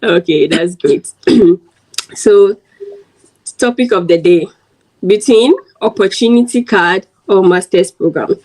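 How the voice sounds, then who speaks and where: A chatty woman from Ghana hosts a relaxed chat for her video channel. She keeps things loose and friendly, with plenty of "like" fillers as she moves the talk along. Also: mid-range voice, slow, low-quality recording. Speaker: host and interviewer.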